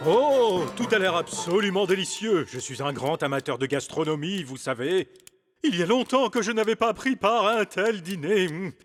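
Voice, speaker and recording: A man's voice: sits high, expressive, normal speed, faint music behind. A man playing a chatty, pompous bird courtier with formal, old-fashioned speech in a cartoon. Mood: pompous, fussy. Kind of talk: French cartoon dub acting.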